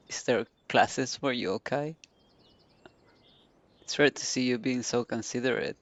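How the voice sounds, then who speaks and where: A woman streaming a game, giving casual, low-key commentary in halting, muttered asides. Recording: faint game music behind the voice.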